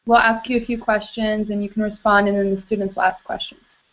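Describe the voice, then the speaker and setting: A woman's voice: medium pitch, flat delivery, fairly quick, fairly bright tone. Woman hosting over a muffled video call. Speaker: host of the student webisode.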